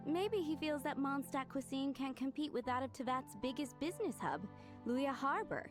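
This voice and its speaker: A proud young woman cook from a video game, pitched high. She is polite and confident, with a composed, matter-of-fact way of talking over faint music. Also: lively intonation, normal speed. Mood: proud, firm.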